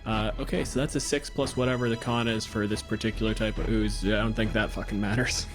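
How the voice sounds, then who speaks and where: A man's voice: lowish voice, level tone, fairly quick. A man game master improvising tabletop narration in a casual, sweary way. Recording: music behind the voice. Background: music.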